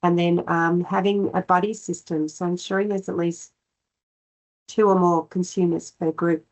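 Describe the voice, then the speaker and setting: A woman with a cold giving a measured webinar presentation, pausing often on fillers. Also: fairly low pitch, level tone, darkish tone, low-quality recording. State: has a cold.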